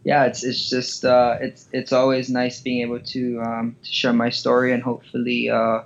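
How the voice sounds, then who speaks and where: A man from Hawaii chatting casually as an interview guest, speaking in a hesitant, halting way. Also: medium pitch, monotone, vocal fry, dull audio. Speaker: podcast guest, professional golfer.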